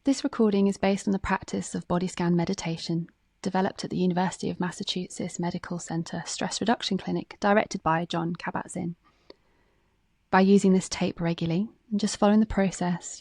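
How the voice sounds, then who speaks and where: Female meditation guide, mellow and relaxed with gravelly fry, calm scripted read, close dry sound. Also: lowish voice, a little fast, no room sound.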